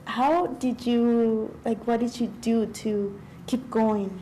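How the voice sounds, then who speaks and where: Young woman from Mexico, mellow warm tone, earnest student. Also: on the high side, steady tone, takes it slowly, slight background noise, poor audio. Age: young adult. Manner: earnest, hesitant with frequent "um". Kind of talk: conversational interview.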